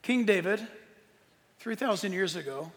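A man giving an informal, earnest preaching talk to an audience as a Bible teacher, emphatic, with dramatic pauses. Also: sits high, lively intonation. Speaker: Bible teacher and tour leader. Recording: long hall reverb.

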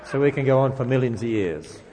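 A man's voice: mid-range voice, animated, fairly quick, mellow, long reverb tail, a faint crowd, a little hiss or hum. A man from Australia with an Australian accent, a guest speaker giving a sermon with jokes and emphatic rhetorical questions in a church. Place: church sanctuary.

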